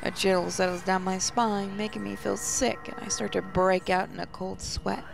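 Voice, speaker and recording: Woman narrating over soft music. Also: mid-range voice, little pitch movement, normal speed, gravelly. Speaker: gaming channel host. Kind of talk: expressive first-person story narration, reading a visual novel aloud.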